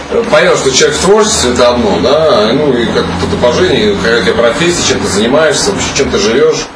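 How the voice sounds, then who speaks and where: Quick-talking man, distant and echoey. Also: mid-range voice. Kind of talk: casual group discussion. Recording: distant, echoey room with background noise.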